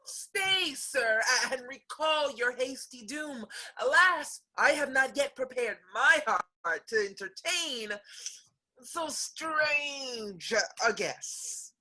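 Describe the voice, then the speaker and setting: A woman acts out a young lady of Restoration comedy, distressed yet defiant. Her voice is high and bright, and she delivers each plea slowly, with theatrical passion. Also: expressive, full character voice, rough recording. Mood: dismayed. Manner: impassioned, declamatory. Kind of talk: theatrical Restoration play acting.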